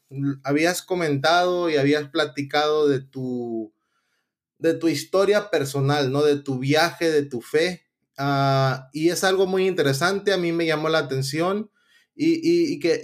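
Chatty Mexican podcast host, higher voice. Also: male voice. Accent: Mexican Spanish. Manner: chatty, rambling with many restarts and fillers. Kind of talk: casual podcast interview.

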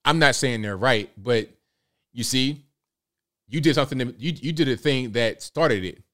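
A man in his late thirties hosting a casual livestream, talking in a relaxed way and reading out viewer comments. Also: mid-range voice, moderate pace. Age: late 30s. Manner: laid-back, conversational, repeats himself. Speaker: livestream host.

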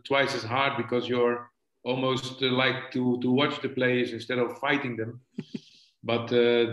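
A man in his sixties with a Dutch accent, a football coach answering interview questions haltingly, often stumbling and repeating words. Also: medium pitch, fairly bright tone. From Netherlands. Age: 60s. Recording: echoey room, dull lo-fi connection.

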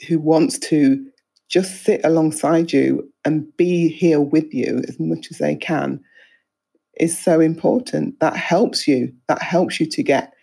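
A calm counsellor hosts this talk and explains things in a measured, unhurried way. The voice is dark and mellow, with a gravelly edge of fry. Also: medium pitch. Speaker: counsellor host. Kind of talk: calm interview conversation.

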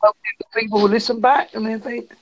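Gravelly high-voiced man in his fifties. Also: dull audio. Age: 50s. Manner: calm, measured, reflective. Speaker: video call guest. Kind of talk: casual conversation. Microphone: video call.

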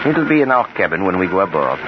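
A man's voice: low-pitched, sing-song, quick pace. A man acting an unruffled, reassuring crook, one of a gang, in an old-time radio drama. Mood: relaxed, wry. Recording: dull, noisy vintage radio recording.

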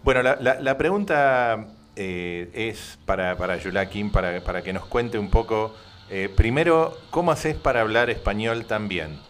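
Argentine guy co-hosting over a call, lowish voice, stumbles and restarts a lot. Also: animated, faint music behind. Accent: Rioplatense Spanish. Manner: halting, lots of fillers and restarts, friendly. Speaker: podcast co-host. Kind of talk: casual chat. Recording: remote call link.

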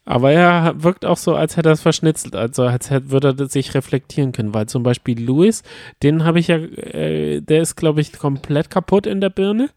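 A man's voice: on the high side, normal speed, creaky, a little mellow, no room sound. Male podcast co-host, dry close sound. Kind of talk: casual reality-TV recap chat.

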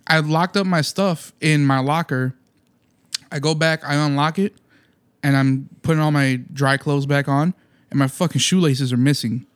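A man's voice: fairly high pitch, fairly even intonation, normal speed. Rambling, profane man telling stories. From Munster, Indiana area. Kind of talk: casual chat.

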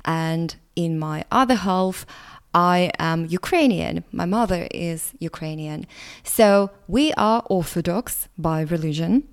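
A woman with a Russian accent talks through nutrition advice at a very unhurried pace, in a slightly low voice. Her manner is earnest and explanatory, like a coach giving a solo podcast talk, with a soft laugh now and then. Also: clear, resonant tone. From Latvia.

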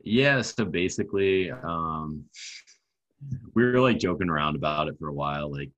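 A man's voice: rumbling low, lively intonation, moderate pace, a bit bright, no echo, highs cut off. A man in his late twenties chatting casually as a podcast guest, laid-back, laughing often and swearing. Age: 20s. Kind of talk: casual chat.

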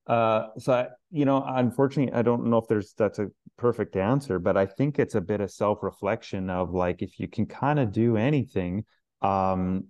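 A man answers interview questions in a casual, meandering way. His voice sits fairly low, and he circles back with many restarts and filler words. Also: mellow. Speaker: searcher guest.

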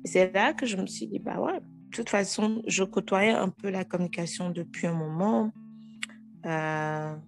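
A woman in her thirties with a Togolese French accent, a guest telling her own story frankly and with animation. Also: lowish voice. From Togo. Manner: frank and animated, with frequent restarts. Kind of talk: casual interview.

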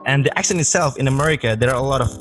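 Deep-voiced Indonesian man, halting. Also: a bit bright, soft background music. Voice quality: deep bass voice. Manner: halting, repeats words while thinking. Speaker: interviewee. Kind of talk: casual conversation.

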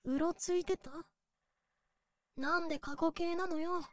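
A woman's voice: very high-pitched, steady tone, a little slow. A woman playing a bossy, easily flustered young lady plantation owner in an anime-style audio drama. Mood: puzzled.

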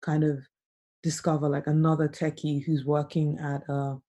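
A woman hosting a remotely recorded podcast interview, talking in a soft, relaxed way. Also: sits low, moderate pace. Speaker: podcast host. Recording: not studio quality, remote.

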